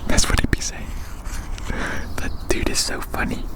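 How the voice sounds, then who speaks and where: An ASMR performer speaking in a breathy, unvoiced whisper, gently musing and reassuring, often softly repeating phrases. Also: moderate pace.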